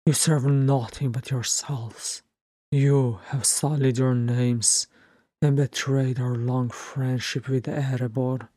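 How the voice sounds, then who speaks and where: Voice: mid-range voice, little pitch movement, slow, warm tone, no room sound, natural voice. A narrator voicing a stern, weary old king in a fantasy story, grave, formal and commanding.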